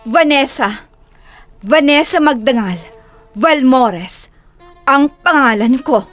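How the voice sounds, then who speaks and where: A woman's voice: sits high, lively intonation, slow pace, fairly bright tone, soft background music, heavily acted. A woman voicing a proud, defiant young heiress in an audio drama, cool, cutting and self-assured. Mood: proud, firm.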